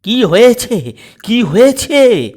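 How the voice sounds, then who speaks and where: A man voicing a fussy, excitable old father-in-law who blusters and grumbles in a comic audio story. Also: very high-pitched, takes it slowly, voice pushed up, exaggerated voice. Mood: anxious. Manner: loud, repetitive, indignant exclamations.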